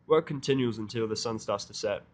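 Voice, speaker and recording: A man's voice: lowish voice, a little fast. A man narrating a first-person story in character, with a dry, sardonic manner. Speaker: audiobook narrator.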